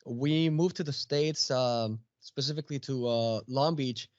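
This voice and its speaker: A man's voice: mid-range voice, a little slow, dry recording, dull audio. A man in his late thirties from Mexico, a podcast guest chatting casually with many fillers and restarts. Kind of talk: casual chat.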